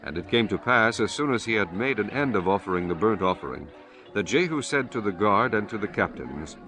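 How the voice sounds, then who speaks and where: A man giving a solemn, measured, formal narration of scripture as an audiobook narrator. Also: lowish voice, lively intonation, over music.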